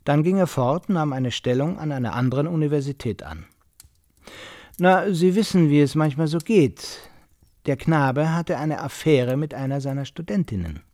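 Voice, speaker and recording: An actor playing a talkative middle-aged host in a radio play, genial with a needling edge and effusive small talk. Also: male voice, medium pitch, darkish tone, no echo, clean studio sound, natural voice. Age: 40s. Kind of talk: naturalistic radio play acting.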